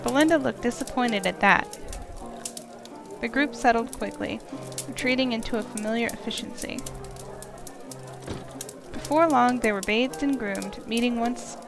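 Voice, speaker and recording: A woman's voice: on the high side, a little slow, gravelly, pauses often. A woman reading scripted fantasy story narration as the narrator of a visual novel. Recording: voice under prominent music.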